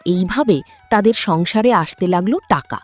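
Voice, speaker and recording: A woman's voice: medium pitch, lively intonation, moderate pace, darkish tone, faint music behind. A woman giving scripted narration as the storyteller of a cartoon tale.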